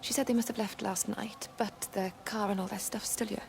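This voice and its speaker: A woman acting an anxious but practical young mother in a story-driven game. Her mid-pitched voice has plenty of creak, with faint ambient noise behind it. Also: steady tone, a little fast, darkish tone, natural voice. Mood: anxious, urgent. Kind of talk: naturalistic video game voice acting.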